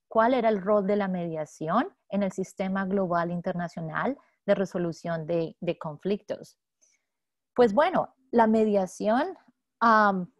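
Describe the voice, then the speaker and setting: A woman mediator presenting a webinar lecture, speaking haltingly with many fillers and restarts over a video call. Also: mid-range voice, no echo, lo-fi sound. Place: home office in San Diego.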